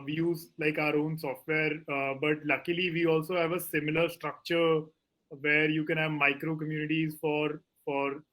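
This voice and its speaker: A man's voice: on the high side, fairly bright tone, low-quality recording. A man speaking as a panelist on a video call, hedging with many fillers. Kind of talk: panel discussion.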